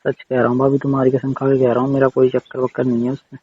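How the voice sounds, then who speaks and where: A man's voice: mid-range voice, monotone, fast talker, breathy edge. A man on a casual personal phone call, defensive and pleading as he repeats himself. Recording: dull, slightly muffled call recording.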